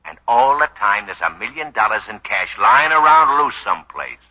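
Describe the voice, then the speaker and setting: An actor playing a suspicious, sarcastic police detective who badgers bluntly, in a 1940s radio drama. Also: male voice, lowish voice, big pitch swings, moderate pace, sharp tone, exaggerated voice. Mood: accusing. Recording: dull, lo-fi 1940s radio recording.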